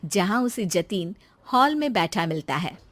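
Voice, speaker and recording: A woman's voice: mid-range voice, big pitch swings, measured pace. A woman narrator reading a scripted family story.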